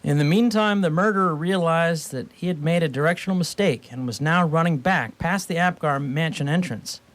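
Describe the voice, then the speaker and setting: A man's voice: on the high side, moderate pace, a bit bright. A man narrating a scripted comic radio story in a wry, mock-formal way.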